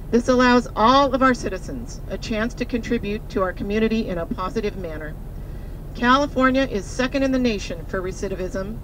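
A middle-aged woman reading a prepared speech into a handheld microphone as a community advocate aboard a moving tour bus, earnest and measured. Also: medium pitch, low-quality recording. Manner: earnest, measured, slowing on key phrases. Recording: bus with road noise behind. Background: vehicle engine and road noise.

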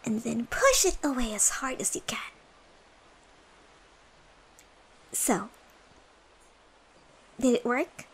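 A woman voicing a gentle, cheerful young female dancer in a soft, soothing ASMR comfort roleplay, breathy and encouraging. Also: on the high side, lively intonation, measured pace, heavily acted. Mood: warm, encouraging. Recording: faint background music and ambience.

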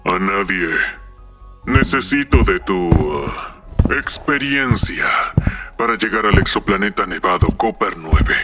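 A man's voice: sits low, expressive, unhurried, music in the background. A man playing a weary, secretive soldier in a cartoon fan dub, blunt and jaded, with a Latin American Spanish accent. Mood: jaded, businesslike. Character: weary, secretive male soldier client in gas mask. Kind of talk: animated fan dub acting.

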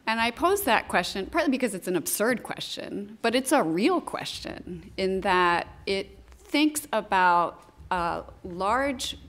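A woman speaking as a panelist in an academic discussion, thoughtful and measured, from a lecture room. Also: mid-range voice, a bit bright.

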